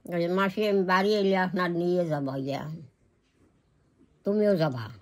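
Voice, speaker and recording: A woman's voice: on the low side, a little slow, a little mellow. Older woman with East Bengali accent. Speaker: grandmother. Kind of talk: casual family chat.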